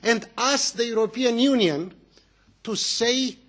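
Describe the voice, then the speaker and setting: A man's voice: extremely high pitch, fairly bright tone. A man from Romania, giving an emphatic, indignant speech as a member of parliament at a committee hearing. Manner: indignant, emphatic, with halting restarts.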